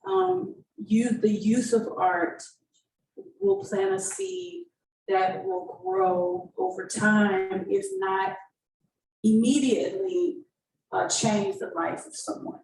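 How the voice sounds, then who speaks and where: A woman speaks as a panelist in an echoey, slightly noisy room. Her delivery is very slow and earnest, with pauses in the middle of phrases. The microphone is some way off. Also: on the high side, very animated, gravelly, darkish tone. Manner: halting, pausing mid-phrase, earnest. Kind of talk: reflective panel remarks. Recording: echoey room, distant mic, background noise.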